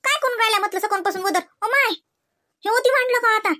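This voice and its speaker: Woman voicing very high, bright Varhadi Marathi cartoon housewife. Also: quick pace. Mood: fussy scolding. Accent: Varhadi Marathi from Vidarbha. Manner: exclamatory, colloquial, chatty. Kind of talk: comedy cartoon dialogue.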